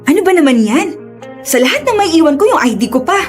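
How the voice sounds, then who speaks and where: A high-pitched woman's voice as a fierce, caring big sister in a radio drama. Music plays underneath her lines. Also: big pitch swings, fast talker. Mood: annoyed. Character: protective older sister, married working woman, fiercely caring.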